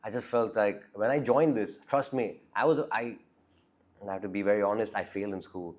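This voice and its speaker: A young man, raised in the Emirates, chatting openly as an actor guest in an interview, with a bassy voice and many restarts. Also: brisk, a little mellow, muffled recording. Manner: frank, chatty, many restarts and "right?" tags. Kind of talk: casual interview chat.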